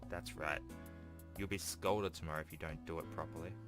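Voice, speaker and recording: A man's voice: a bass voice, fairly even intonation, fairly quick, pitched below the performer's own voice. A man voicing a smug, condescending teenage schoolboy rival from a visual novel, sneering and dismissive. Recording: music behind the voice.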